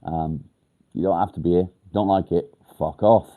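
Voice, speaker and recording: Man, livestream host, blunt and direct, nasal and stuffed up, very low pitch. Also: fairly even intonation, moderate pace, narrow, tinny audio. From England. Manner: blunt, straight to the point. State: congested, nasal from a blocked nose. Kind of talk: casual live chat answering viewer comments.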